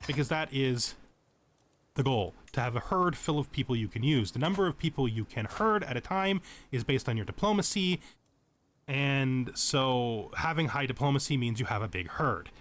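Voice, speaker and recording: A man's voice: mid-range voice, expressive, normal speed, fairly bright tone, a little hiss or hum. A man giving unscripted tutorial commentary over a video game, explaining things step by step and often repeating or rephrasing as he goes. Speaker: tutorial let's play host.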